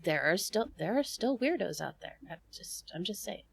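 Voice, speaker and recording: A woman's voice: medium pitch, big pitch swings, normal speed, creaky, slightly rough, dry recording, studio quality. A woman co-hosting an improvised comedy advice show, chatting and explaining in a conversational way. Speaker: comedy podcast host.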